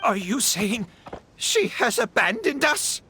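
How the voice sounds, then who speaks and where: An actor voicing a stubborn, worried and feisty storybook townsperson in an animated game, with a creaky rasp and blustering delivery. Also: mid-range voice, slow, slight background noise, exaggerated voice. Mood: shocked. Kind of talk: animated game character acting.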